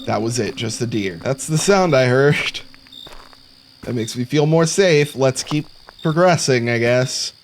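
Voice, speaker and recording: A man's voice: medium pitch, expressive, measured pace, slight background noise. A man giving casual let's play commentary on a horror game, sarcastic and jokey as he mutters to himself. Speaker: gaming content creator. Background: horror game sounds of crickets and insects.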